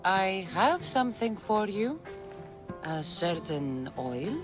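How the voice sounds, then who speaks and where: An actress playing a confident, wry adventurer in a video game, speaking in a playful, self-assured way. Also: female voice, mid-range voice, big pitch swings, slow pace, music in the background. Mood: firm, coaxing. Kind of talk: video game character acting.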